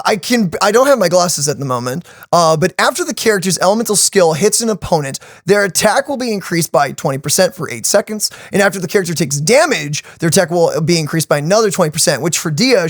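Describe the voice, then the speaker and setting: A man co-hosting a game reaction video, casually explaining stats with lots of fillers in an animated way. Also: sits high, a little fast, hardly any pauses, no room sound, clean studio sound. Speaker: co-host of a game reaction channel.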